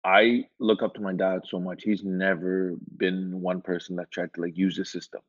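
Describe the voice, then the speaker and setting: A man from Iran, a guest in a casual interview, telling his story in a relaxed, rambling way with lots of filler words. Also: low-pitched, normal speed. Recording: dull lo-fi sound.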